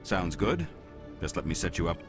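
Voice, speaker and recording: A man's voice: low-pitched, very animated, normal speed, soft background music. A man playing a polite, businesslike shop clerk on a space station in a video game.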